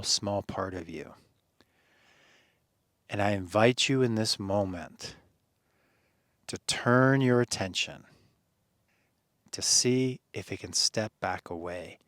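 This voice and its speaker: Guy doing guided meditation, lowish voice, really slow n soothing, lots of pauses, gently encouraging. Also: no echo, studio quality. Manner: soothing, earnest, deliberate phrasing with pauses.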